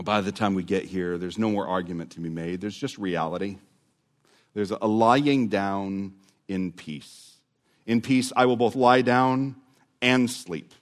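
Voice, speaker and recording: Male pastor, sermon delivery, mid-pitched voice, hushed drops and emphatic rises. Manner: dramatic swings from loud to hushed, drawn-out words.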